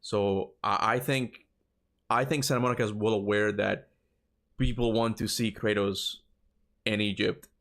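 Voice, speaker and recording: A man's voice: fairly low pitch, fairly even intonation, unhurried, fairly bright tone. A man streaming a video game, chatting casually, thinking aloud, repeating himself and laughing easily. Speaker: gaming streamer. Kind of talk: casual livestream commentary.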